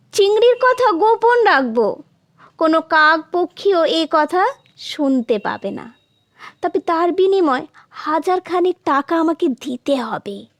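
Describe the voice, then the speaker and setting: A woman voicing a wily male courtier who feigns humble piety, coaxing and flattering in an audio folktale. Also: very high voice, takes it slowly, dry recording, heavily acted. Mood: sly, coaxing.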